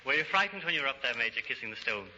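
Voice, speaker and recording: A man's voice: medium pitch, animated, rapid-fire. A man from Ireland chatting casually as an amateur contestant on a 1930s radio recording. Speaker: amateur step-dancer contestant. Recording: dull, noisy 1939 radio recording.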